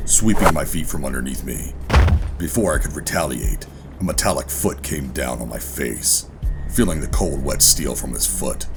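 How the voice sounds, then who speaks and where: An actor playing a bitter, defiant sci-fi operative in a noir audio drama, brooding and deliberate in delivery. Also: male voice. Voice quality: very deep, gravelly. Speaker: narrator and lead character. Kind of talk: noir first-person audio drama narration and dialogue. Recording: dramatic music behind the voice.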